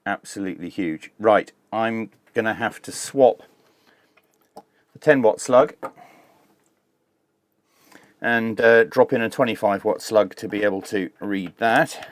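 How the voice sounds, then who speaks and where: A man's voice: lowish voice, takes it slowly, pauses often, no room sound. Man giving dry, close-up tech demo commentary. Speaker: host of a radio tech review channel. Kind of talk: hands-on demonstration commentary.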